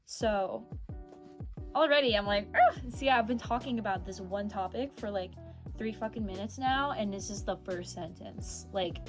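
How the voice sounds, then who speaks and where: An expressive young woman talks through her reading in a loose, rambling way, reacting big and swearing now and then. Her voice sits in an ordinary middle range for a woman. Music plays clearly underneath her. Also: medium pitch, normal speed. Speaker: book club vlogger. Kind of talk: casual chat.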